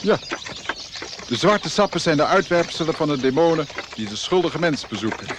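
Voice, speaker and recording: A quietly menacing monk of about forty, played by a man in a radio play, his voice slightly high. He is terse and measured, full of insinuation. The recording has clear noise behind him. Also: animated, natural voice. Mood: ominous. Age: middle-aged. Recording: noisy old radio drama, outdoor effects.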